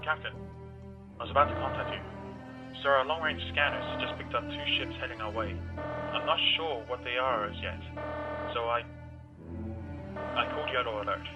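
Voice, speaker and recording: A man's voice: mid-range voice, very animated, moderate pace, long pauses. A man playing a dutiful, slightly hesitant lieutenant reporting over an intercom in a sci-fi audio drama. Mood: alert. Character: dutiful junior starship lieutenant on watch. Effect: intercom radio. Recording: dull intercom under loud music.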